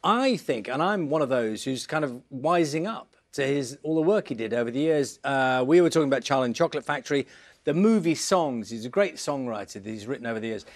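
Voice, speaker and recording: British male presenter with lively, swooping pitch. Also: fairly quick. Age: middle-aged. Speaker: breakfast news presenter. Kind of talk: chatty studio presenting. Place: TV studio.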